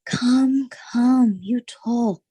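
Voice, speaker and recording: Woman acting a mellow, loyal waiting woman. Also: on the high side, drawn-out words, husky, exaggerated voice. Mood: concerned. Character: loyal, plain-spoken waiting woman to a noble lady. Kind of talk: Shakespearean audio drama acting.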